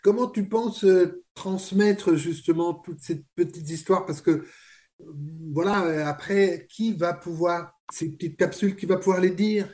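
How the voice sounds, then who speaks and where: A man's voice: high-pitched, measured pace, mellow. Friendly curious interviewer man. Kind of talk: radio interview.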